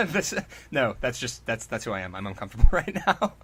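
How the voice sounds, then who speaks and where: A man's voice: medium pitch, sing-song, a little fast, a bit bright, breathy edge. A man co-hosting a comic story reading, narrating dramatically and breaking into mocking, exclamatory, sweary asides. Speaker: podcast co-host and reader.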